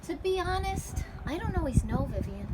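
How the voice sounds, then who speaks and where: Woman as a patient, animated storybook schoolteacher. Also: very high voice, moderate pace, voice pushed up. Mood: explaining. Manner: reassuring, explanatory, encouraging. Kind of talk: storybook read-aloud character voice. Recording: loud background noise.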